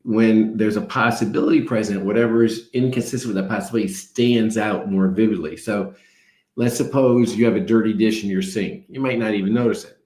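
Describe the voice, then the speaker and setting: An older man, a spiritual teacher, talking calmly and reflectively, explaining ideas with everyday examples. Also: fairly low pitch, a little fast. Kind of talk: reflective talk. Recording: roomy echo.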